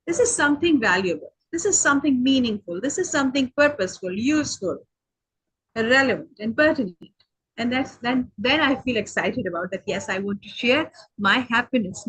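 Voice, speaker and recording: A woman on a video call talks slowly through her thoughts and stacks up near-synonyms as she goes. Her voice is a little high for a woman and has a soft, breathy edge. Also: rough recording. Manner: earnest, searching for words, lists synonyms. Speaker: guest. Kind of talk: reflective conversation on a video meeting.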